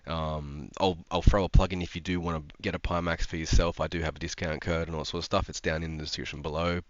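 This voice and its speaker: A man's voice: deep voice, flat delivery, quick pace, no echo, highs cut off. A young man, stuffed up from a cold, chatting casually about sim racing with lots of ums and restarts. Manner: rambling, frequent ums and restarts. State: congested from a cold. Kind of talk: casual commentary to camera.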